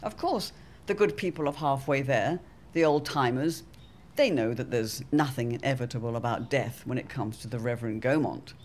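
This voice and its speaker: A narrator of a period audio serial, reading scripted copy in a theatrical, deliberate style. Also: mid-range voice.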